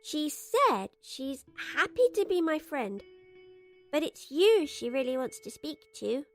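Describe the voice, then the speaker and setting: A woman's voice: high-pitched, sing-song, a little slow, soft background music, exaggerated voice. A woman playing a trusting, chatty little girl with a childlike, earnest manner in an audio drama.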